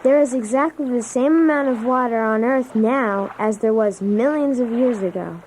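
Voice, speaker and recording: A woman's voice: a little high, normal speed, a little mellow, a little hiss or hum, lightly acted, low-quality recording. A woman voicing an earnest schoolchild reciting a science report in a children's read-along. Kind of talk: scripted science fact reading.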